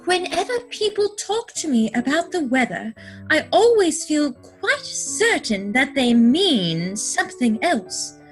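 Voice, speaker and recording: A woman's voice: sits high, sing-song, slow pace, soft background music, exaggerated voice. A woman playing a prim, self-assured young society lady in a stage comedy reading, affected, coy and precise. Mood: coy, confident.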